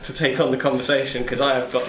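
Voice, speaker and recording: A man's voice: mid-range voice, level tone, quick pace, crowd noise. A man talking casually as a player in a tabletop game. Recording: echoey room, faint noise.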